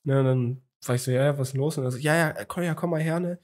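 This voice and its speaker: A man's voice: medium pitch, quick pace, a little mellow. Young man rambling on a podcast. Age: young adult. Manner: rambling, lots of fillers and restarts. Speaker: podcast host. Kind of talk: casual chat. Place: small home room. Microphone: studio mic on a boom arm, video call.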